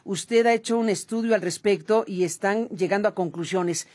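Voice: mid-range voice, normal speed, darkish tone. A host, introducing a guest and asking questions with many hesitations and fillers. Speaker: interview host. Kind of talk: broadcast interview.

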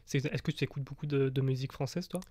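A man's voice: mid-range voice, steady tone, quick pace, a little mellow, no room sound. A man hosting a casual, conversational radio interview in a studio.

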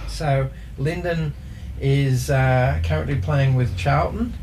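A man's voice: medium pitch, slow pace, darkish tone, slight background noise. A man sharing football trivia in a casual sports chat, hesitant, with many ums as he reads from his notes. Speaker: co-host presenting football trivia.

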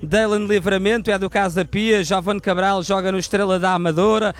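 A man reporting live from an outdoor fan gathering and chatting with the crowd on a handheld mic, lively and chatty. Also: high-pitched, fairly quick. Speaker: field reporter.

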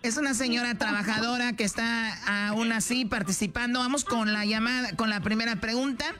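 A woman's voice: a little high, steady tone, brisk. A woman hosting a radio game show, bantering in a teasing, scolding way.

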